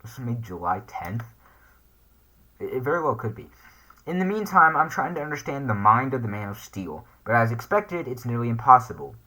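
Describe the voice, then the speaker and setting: A man's voice: medium pitch, big pitch swings, fairly quick, pushed and bright, low-quality recording. A man hosting a casual reaction video, hesitantly thinking aloud as he comments and reads text off the screen. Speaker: YouTube reaction host.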